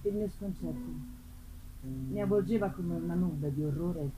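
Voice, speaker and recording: A woman giving an intense, halting dramatic reading of a gothic novel, repeating words for effect and swinging between hushed and loud delivery. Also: fairly low pitch. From Italy. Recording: live festival recording with faint noise. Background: live musical accompaniment.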